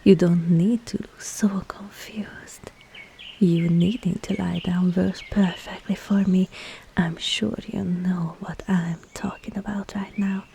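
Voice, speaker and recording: A woman playing a scheming, seductive witch in a whispered ASMR roleplay, breathy and teasing, with falsely soothing reassurance. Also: lowish voice, steady tone, slow pace, dark tone, a little hiss or hum.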